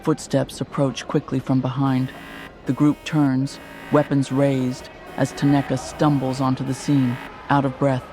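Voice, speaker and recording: A man's voice: mid-range voice, monotone, measured pace, background noise, natural voice. A man narrating a scripted sci-fi horror audio drama in a serious manner. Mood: tense narration. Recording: loud music bed behind.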